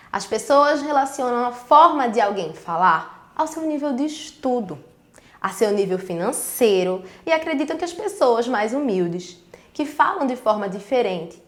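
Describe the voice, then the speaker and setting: A woman teacher with a Northeastern Brazilian accent explains earnestly and speaks directly to her pupils. Her voice sits a little high, and the recording has a roomy echo. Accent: Northeastern Brazilian, Pernambuco. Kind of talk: recorded school video lesson. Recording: echoey room.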